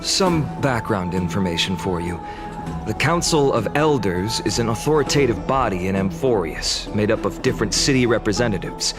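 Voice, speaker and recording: A man playing an earnest young hero in a video game, explaining things in a measured, articulate way over music. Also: fairly low pitch, animated, natural voice. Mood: earnest, informative. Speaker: game character. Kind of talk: scripted game dialogue, exposition. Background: background music.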